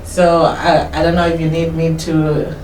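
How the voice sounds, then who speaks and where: A Kenyan woman with a low voice and a Kenyan English accent recounts her life in a relaxed interview, often restarting sentences. She sounds distant from the mic in an echoey room, with faint noise behind her. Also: normal speed. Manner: rambling, self-correcting, laughs while telling. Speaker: interview guest. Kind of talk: casual life-story interview.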